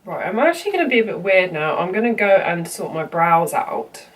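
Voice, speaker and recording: A woman's voice: on the low side, big pitch swings, brisk. A young woman with a cold, sounding bunged up as she chats her way through a makeup tutorial with plenty of ums and you-knows. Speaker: makeup vlogger. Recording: slightly distant mic with faint room noise.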